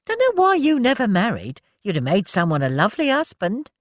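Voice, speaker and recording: A reader voicing Milly, a cheeky young woman who teases her friends loudly. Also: medium pitch, big pitch swings, moderate pace, dry recording, studio quality, voice pushed up, natural voice. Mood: teasing. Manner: loud, playful, teasing.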